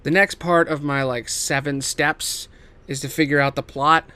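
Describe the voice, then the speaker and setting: A man giving a casual, semi-improvised story pitch as a host, emphatic and theatrical, swearing casually and dropping into offhand asides. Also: steady tone, moderate pace, bright, forceful tone, clear, resonant tone. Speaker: host pitching video game movie adaptations.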